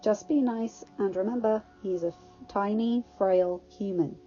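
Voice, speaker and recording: A woman's voice: medium pitch, unhurried, warm tone, lo-fi sound, faint music behind, lightly acted. A woman playing a tough, easygoing warrior woman who speaks casually and directly in a story reading. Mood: brisk.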